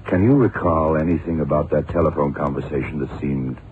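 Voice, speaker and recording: A very deep-voiced, commanding investigator, terse and probing. He speaks in the measured style of vintage radio drama. The recording is narrow, muffled and clearly noisy. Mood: probing, polite. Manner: terse, probing, methodical questioning. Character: seasoned, shrewd male FBI investigator, commanding older colleague. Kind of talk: 1960s-70s radio drama acting.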